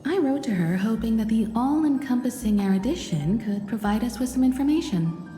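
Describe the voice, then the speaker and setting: An actress playing a thoughtful, polite young explorer who asks inquisitive questions. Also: female voice, on the high side, lively intonation, brisk, dark tone, lightly acted. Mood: curious. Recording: roomy reverb with game music.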